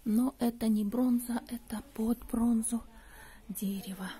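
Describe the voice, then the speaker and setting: A middle-aged woman from Russia, chatting as a vlogger while she walks through shops, often speaking softly, almost in a whisper. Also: a little high, fairly even intonation, a little slow, husky. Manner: soft, often near-whispered commentary while browsing. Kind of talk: chatty walking vlog narration.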